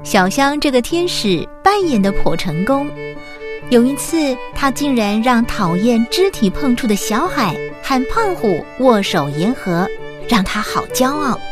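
A woman narrating a children's school radio play in Taiwanese Mandarin, expressive and addressed to young listeners. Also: fairly high pitch, measured pace. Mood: gentle storytelling. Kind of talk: scripted children's story narration. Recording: music behind the voice.

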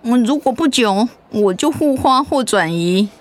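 An actress playing a gentle, hesitant middle-aged mother in a radio drama. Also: a little high, lively intonation, a little slow. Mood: hesitant, worried. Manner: gentle, hesitant, conversational with soft fillers.

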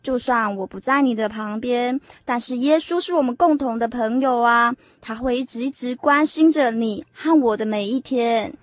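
An actress playing a gentle, caring young girlfriend in a radio drama, speaking in a consoling, measured way. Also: a little high, natural voice. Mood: tender reassurance. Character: gentle, caring high-school girlfriend, devout and reassuring.

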